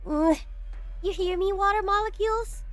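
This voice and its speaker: A woman voicing a playful, excitable scientist director in an amateur fan dub, talking in an animated, teasing way. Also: very high-pitched, moderate pace, no echo, soft background music.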